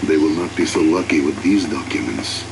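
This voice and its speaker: An actor playing a world-weary air force intelligence officer, narrating a video game cutscene in a measured, formal way. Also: male voice, rumbling low, gravelly, noisy background. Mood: wry, resigned. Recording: loud music behind the voice. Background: loud music.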